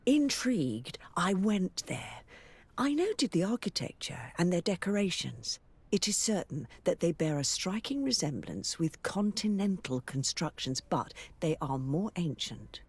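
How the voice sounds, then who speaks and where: Game role: curious female scholar, husky with a creaky edge, explaining carefully. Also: lowish voice, sing-song, unhurried, darkish tone, exaggerated voice. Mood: intrigued, thoughtful. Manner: thoughtful, articulate explaining. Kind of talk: video game character dialogue.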